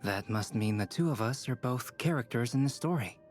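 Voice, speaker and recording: A man playing a composed, analytical young male alchemist in a video game, measured and matter-of-fact. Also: medium pitch, expressive, darkish tone, lightly acted. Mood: dry, analytical.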